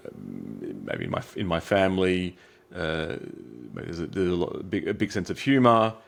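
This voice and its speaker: A man's voice: deep voice, gravelly. An Australian man as an interview guest, talking in a reflective way with many restarts and fillers. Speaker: guest, psychiatric trainee. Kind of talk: interview answers.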